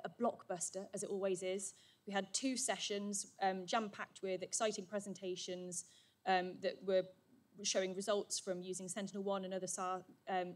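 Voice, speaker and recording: A woman giving a brisk, upbeat summary at a conference, with frequent "um" fillers. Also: medium pitch. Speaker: session chair presenting summaries. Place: conference hall. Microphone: handheld or podium microphone.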